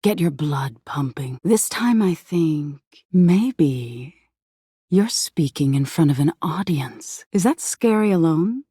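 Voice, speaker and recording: A low-voiced living nightmare from a video game, sinister and seductive. She draws her words out at an unhurried pace and toys with the listener in playful menace. Her dark, husky tone often sinks into breathy whispers. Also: animated, no room sound, studio quality, exaggerated voice. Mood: menacing, creeping. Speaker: video game character voice actor. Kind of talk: theatrical video game voice acting.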